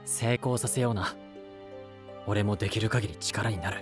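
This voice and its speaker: A man's voice: on the low side, normal speed, music in the background, close to an everyday voice. A man playing a young knight in an anime-style voice drama, casual and blunt in speech yet reassuring like a big brother. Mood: warm, easygoing.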